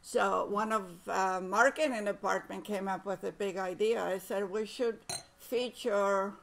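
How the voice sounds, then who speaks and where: A woman's voice: medium pitch, steady tone, a little slow, creaky, fairly bright tone. An older woman, a guest painter at a winery tasting, chatting modestly and self-deprecatingly about her watercolor labels. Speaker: guest artist, winery founder. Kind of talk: casual chat. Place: winery tasting room, indoors. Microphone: tabletop mic on stand.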